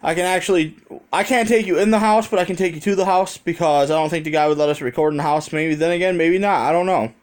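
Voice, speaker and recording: A man's voice: fairly high pitch, quick pace. An American man talking in a rambling, conversational way with casual swearing, telling a story as a vlogger into a handheld phone.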